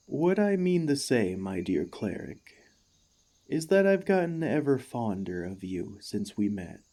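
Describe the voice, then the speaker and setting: A man playing an emotional, excitable warforged ex-gladiator in an ASMR roleplay, gentle and devoted, with earnest, formal, halting speech. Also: medium pitch. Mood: flustered, tender.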